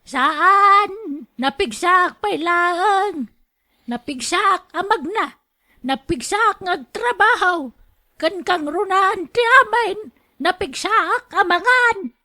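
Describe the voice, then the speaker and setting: Very shrill, stubborn old grandmother. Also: animated, takes it slowly, bright, forceful tone, heavily acted. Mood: stubborn, proud. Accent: Ilocano. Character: stubborn, proud elderly mother and grandmother, insisting she is strong. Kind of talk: radio drama acting.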